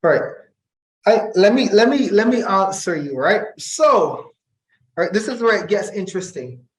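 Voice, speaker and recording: A middle-aged man with a fairly high voice talks in a very echoey room. He is an interview guest who explains things deliberately, repeating phrases to drive points home. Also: very animated. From Barbados. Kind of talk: reflective conversational interview.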